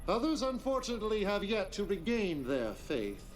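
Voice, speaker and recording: Menacing cult prophet, higher-pitched, over loud music. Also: male voice, sing-song, far from the mic, low-quality recording. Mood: measured, persuasive. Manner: theatrical, deliberate, commanding oratory with dramatic pauses. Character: charismatic, menacing male cult leader and prophet. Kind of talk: dramatic video game cutscene acting.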